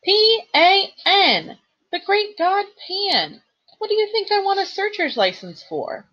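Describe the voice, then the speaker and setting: A woman voicing an earnest, worried young male satyr in a storybook reading, with a husky voice. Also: sits high, lively intonation, unhurried, dull audio, voice pushed up, exaggerated voice. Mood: indignant. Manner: earnest, plaintive, emphatic.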